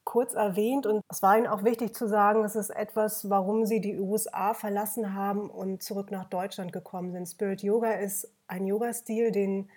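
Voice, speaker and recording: A woman's voice: mid-range voice, fairly even intonation, moderate pace, few pauses, darkish tone, breathy edge. A woman hosting an interview podcast, speaking formally and reading a polished introduction. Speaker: podcast host.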